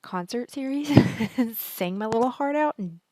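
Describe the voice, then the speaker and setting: A woman with a husky, partly hoarse voice, chatting casually and thinking aloud as she hosts a stock market screen-share commentary. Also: a little high, animated, a little slow, a little mellow, no room sound, low-quality recording. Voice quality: husky, worn voice. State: partly hoarse from singing at a concert. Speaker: stock trading educator, video host.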